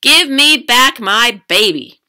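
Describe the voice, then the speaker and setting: A woman's voice: fairly low pitch, lively intonation, slow, pushed and bright, clear, resonant tone, heavily acted. A woman voicing a big, defiant talking fish in a storybook, with emphatic, growly demands. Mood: angry demand.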